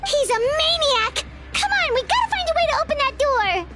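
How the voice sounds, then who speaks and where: A woman's voice: extremely high pitch, very animated, normal speed. A woman voicing a sweet young head nurse in a video game, composed and precise with polite bedside manner. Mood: urgent. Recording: game music behind the voice.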